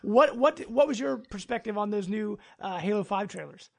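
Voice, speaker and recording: A man's voice: sits high, animated, normal speed, vocal fry. A man hosting a casual podcast in an agreeable, chatty way. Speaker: podcast host.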